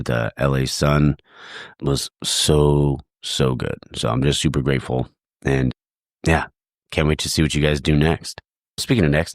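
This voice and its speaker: Very deep-voiced congested male host. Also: dry recording, clean studio sound. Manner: relaxed and grateful. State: congested and tired. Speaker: podcast host. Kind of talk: solo episode outro.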